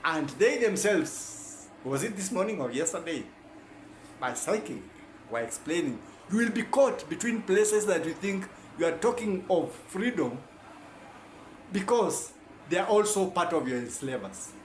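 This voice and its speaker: A man's voice: very animated, a little slow. A man giving a rambling, preachy talk full of rhetorical questions. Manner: preachy, rhetorical questions, wide swings in pitch and loudness. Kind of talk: rambling lecture. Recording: echoey room, faint background noise.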